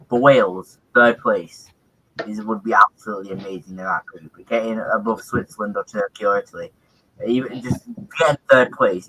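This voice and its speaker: A man's voice: on the low side, sharp tone, rough recording. A young man co-commentating on football in casual chat, hesitant and full of restarts. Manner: hesitant, repeats himself, many restarts.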